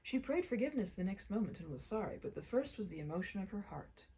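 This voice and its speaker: A woman narrating a literary story aloud in a dramatic, expressive way, with hushed soft passages. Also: mid-range voice, quick pace, rough recording. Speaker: amateur audiobook narrator.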